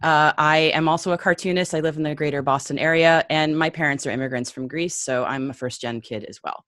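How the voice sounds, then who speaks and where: A woman with a notably low voice hosts a panel over a video call, cheerful and encouraging as she hands the floor around. The sound is close and dry. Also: a bit bright, no room sound. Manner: upbeat, quick thanks, light laughs. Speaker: panel moderator. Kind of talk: moderating a panel.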